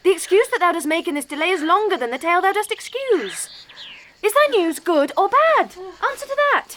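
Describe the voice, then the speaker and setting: Impetuous lovestruck girl, high and swooping. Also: sing-song, fairly quick, fairly bright tone, a little hiss or hum, heavily acted. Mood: exasperated. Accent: British English. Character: Juliet, lovestruck impatient teenage girl, eager and impetuous. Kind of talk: classical Shakespearean audio drama acting.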